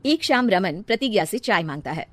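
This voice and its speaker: A woman's voice: medium pitch, expressive, a little fast, fairly bright tone, no echo. Close, dry-sounding woman storyteller. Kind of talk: scripted narration of Hindi family moral stories.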